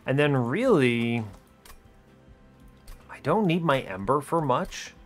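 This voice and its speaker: A man's voice: medium pitch, expressive, slow pace. Breezy man commentating a game over music. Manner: breezy, chatty, wry understatement. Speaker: host of an educational gaming video series. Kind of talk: casual gameplay commentary, thinking aloud.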